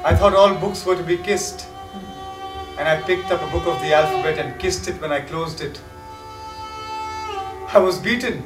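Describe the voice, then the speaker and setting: A young man acting a brooding, guilt-haunted lover in a declamatory, deliberate stage performance. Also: sits high, natural voice. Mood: brooding, bitter. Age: young adult. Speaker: stage actor. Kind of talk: theatrical stage monologue and dialogue. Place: large indoor theatre stage. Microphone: far camera. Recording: echoey theatre stage from far off, music behind.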